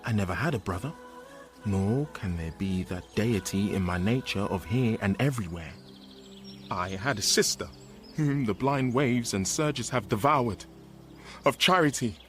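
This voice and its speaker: A man's voice: medium pitch, expressive, a little slow, a bit bright. An actor playing an ardent young nobleman and long-lost twin in a radio Shakespeare drama, heartfelt and earnest. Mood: awed wonder. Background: music.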